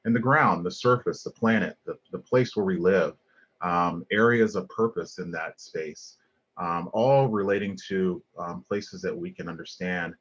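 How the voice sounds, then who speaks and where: A man's voice: sits low, unhurried, fairly bright tone, husky, lo-fi sound. A middle-aged man from Liberia, giving an earnest design lecture over slides with many ums and restarts. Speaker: guest lecturer, landscape architect.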